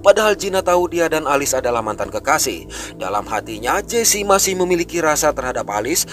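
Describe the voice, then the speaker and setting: A man narrating a film recap in a brisk, scripted storytelling way. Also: on the high side, a bit bright. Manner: brisk storytelling, rising and falling delivery.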